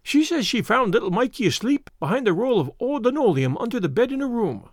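A man's voice: very high voice, very animated, a little fast, dry recording, studio quality, pitched above the performer's own voice, heavily acted. A man voicing a sentimental, quarrelsome middle-aged Irish immigrant wife with a lilting, plaintive brogue in a storybook reading. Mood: attentive.

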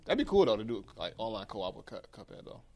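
A man's voice: fairly low pitch, big pitch swings, fast talker, creaky, a little mellow. A man co-hosting a podcast, talking casually and informally about video games with slang and easy swearing. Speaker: podcast co-host. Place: podcast studio.

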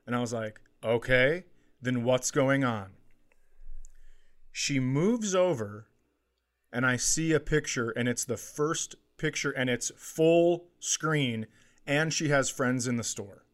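Man, middle-aged, mid-range pitch, casual comedic storytelling, crude language, acted-out dialogue. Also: slow, a bit bright. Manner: crude, swearing, acts out dialogue. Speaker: podcast host, comedian. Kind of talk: casual comedic anecdote telling.

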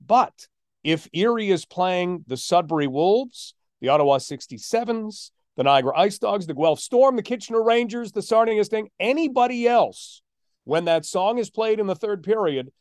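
Higher-voiced man hosting a sports podcast. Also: clear, resonant tone, no room sound, studio quality. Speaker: podcast host and hockey radio play-by-play announcer.

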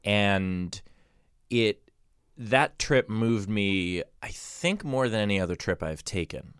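A male podcast host with a low, clean and resonant voice, recorded dry in a studio. He talks in a casual, conversational way, often restarting a thought while he works out how to explain it. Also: lively intonation, slow, fairly bright tone, smooth, dry recording.

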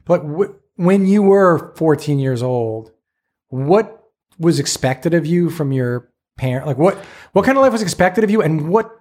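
A man's voice: fairly high pitch, expressive, unhurried, darkish tone. A man in his fifties hosting a podcast, asking curious, probing questions and rephrasing them as he goes. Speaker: podcast host. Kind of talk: casual interview questions.

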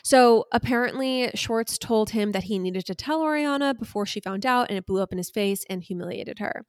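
Woman, podcast host. Casual, emphatic gossip recap, average pitch, very dry sound. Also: normal speed, no echo.

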